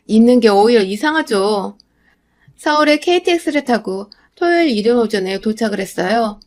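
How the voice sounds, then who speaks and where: Brief: young woman narrator, slightly high voice, confiding and rueful, telling a personal story. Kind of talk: scripted first-person narration.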